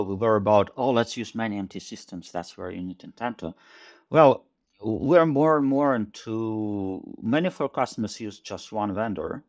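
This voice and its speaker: Man, low voice, halting delivery, repeats words, video call guest in a small home room. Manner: thoughtful, halting, repeats words while searching. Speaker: tech company CEO guest. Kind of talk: conversational interview over video call. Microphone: headset over video call.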